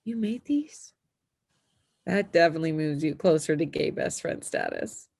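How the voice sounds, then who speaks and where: Slightly husky teen girl snowboarder played by a woman. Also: deep voice, expressive, moderate pace, a little mellow, exaggerated voice. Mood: warm, guarded. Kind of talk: screenplay table read.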